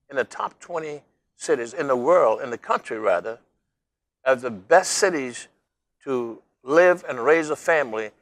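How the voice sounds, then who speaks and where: A man's voice: mid-range voice, a bit bright. Older male host, folksy, rambling, speaks straight to viewers. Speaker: talk show host. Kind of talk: local cable interview.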